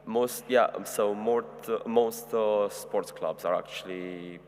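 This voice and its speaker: Low-voiced young man in a reverberant hall. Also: fairly even intonation, takes it slowly, darkish tone. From Romania. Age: 20s. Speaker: university student on a panel. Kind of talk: unscripted advice to new students. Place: large echoing hall. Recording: reverberant hall, humming background noise.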